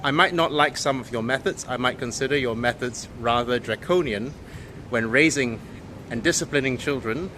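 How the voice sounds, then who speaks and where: Penang guy doing an earnest opinion video, lots of uhs, mid pitch, aircon hum in the back. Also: moderate pace, sharp tone. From Penang, Malaysia. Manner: earnest, hesitant with frequent fillers. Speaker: video host. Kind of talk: opinion monologue to camera.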